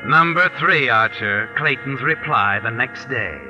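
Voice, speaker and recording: A man's voice: mid-range voice, expressive, a little slow, muffled recording, natural voice. A man playing a knowing, sardonic mystery storyteller, narrating dramatically in 1940s radio style. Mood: knowing, ominous. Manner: sardonic, dramatic second-person telling. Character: knowing, ominous male mystery storyteller taunting the culprit. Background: music.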